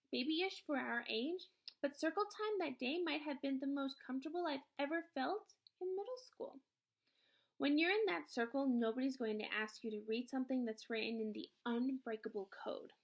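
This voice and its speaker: A woman narrating a children's novel aloud in an expressive storytelling style. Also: high-pitched.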